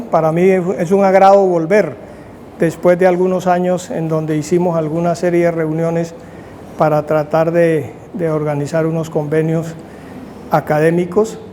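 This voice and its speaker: Older man, Colombian accent, fairly high mellow voice, university lecturer, halting delivery, faint noise. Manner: measured, halting, restarts phrases in short bursts. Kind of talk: academic conference lecture. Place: university seminar room.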